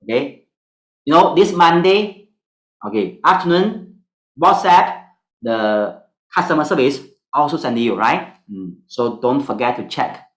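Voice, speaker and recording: A man with a Singaporean accent, whose first language is Mandarin, teaching an online stock analysis class in halting English full of fillers. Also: on the high side, animated, roomy sound, low-quality recording.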